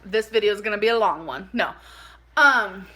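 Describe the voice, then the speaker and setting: A woman chatting casually through a personal story for a vlog, animated and informal, saying "like" a lot and acting out bits of dialogue. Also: mid-range voice, normal speed, poor audio. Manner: animated, informal, acts out dialogue, heavy use of "like". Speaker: vlogger telling a personal story.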